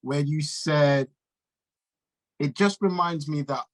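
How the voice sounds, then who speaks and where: A man's voice: a little high, slow. A man hosting a casual interview, talking in an informal, slangy, encouraging way. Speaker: interviewer and show host. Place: remote video call.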